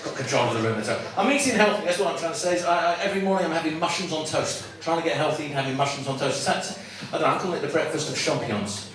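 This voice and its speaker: A man's voice: on the high side, very fast, few pauses, crowd noise. A man compering a stand-up comedy night, bantering and teasing the audience in a chatty, rambling way with lots of restarts. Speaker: comedy club MC. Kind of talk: stand-up compering and crowd work. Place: comedy club with live audience. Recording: echoey, distant room recording with loud noise.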